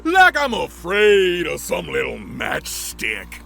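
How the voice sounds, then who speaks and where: Menacing gator with slow drawl. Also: male voice, high-pitched, huge pitch swings, vocal fry, pushed and bright, faint music behind, heavily acted. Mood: angry taunting. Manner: drawling, taunting, with French phrases. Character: large menacing male alligator, sleazy predatory bully. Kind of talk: audio drama acting.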